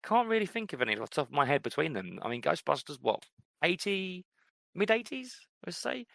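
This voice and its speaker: A man's voice: a little high, brisk, gravelly, dry recording, studio quality. A middle-aged man co-hosting a podcast, chatting casually and rambling with many fillers. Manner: relaxed, rambling, frequent fillers. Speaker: podcast co-host. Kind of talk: casual chat.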